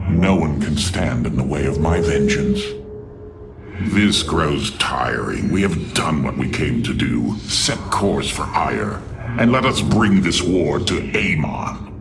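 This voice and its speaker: An actor playing a menacing, arrogant alien warlord in a video game, gravelly, haughty and contemptuous. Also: male voice, takes it slowly, exaggerated voice. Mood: haughty menace. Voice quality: very deep, gravelly. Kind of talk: dramatic video game cutscene acting. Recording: loud game score behind. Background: loud cinematic music.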